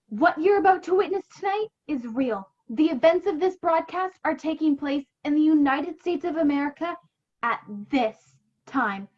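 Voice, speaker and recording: A high-voiced young woman radio performer acted by a student declaims with panicky urgency, as if making a grave announcement. It sounds like slightly dull video-call audio in a comic play. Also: expressive, measured pace, pauses often. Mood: urgent solemnity. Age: teens. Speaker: student actor. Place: home room, video call.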